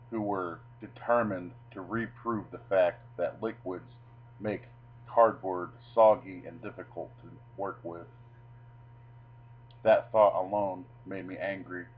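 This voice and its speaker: A man presenting and reading his own short story in a theatrical, deliberate way. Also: sits low, slightly rough. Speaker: video creator reading own short stories. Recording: dull lo-fi sound with music behind.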